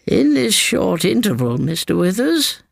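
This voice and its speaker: Man doing an old aunt, imperious n gracious in a creepy way, high voice, story reading. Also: very animated, creaky, no room sound, clean studio sound, heavily acted. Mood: mockingly gracious. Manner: theatrical, drawn-out, over-polite phrasing.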